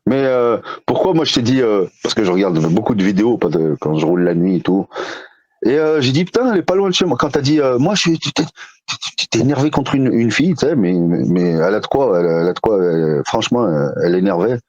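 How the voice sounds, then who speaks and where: Guy chatting on a live stream, lively up and down voice, stumbles and restarts. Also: fairly low pitch, fast, dry recording, dull audio. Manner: animated, restarts, quotes others in put-on voices. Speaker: debate participant. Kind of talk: casual live-stream chat.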